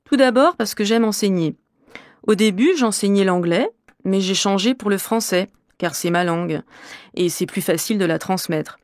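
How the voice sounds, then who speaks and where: A woman's voice: medium pitch, moderate pace, no echo, clean studio sound, close to an everyday voice. A woman playing a middle-aged French teacher from Normandy, answering interview questions in an articulate, explanatory way for a language course. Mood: composed. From Normandy, France. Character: middle-aged female French teacher, curious and well-travelled.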